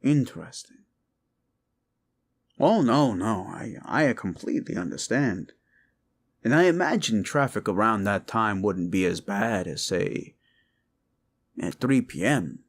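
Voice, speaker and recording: A man playing a rumpled, folksy police detective in a roleplay audio drama, musing and halting as he politely needles a suspect with feigned casualness. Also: lowish voice, lively intonation. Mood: casually probing. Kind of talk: roleplay audio drama, interrogation monologue addressed to listener.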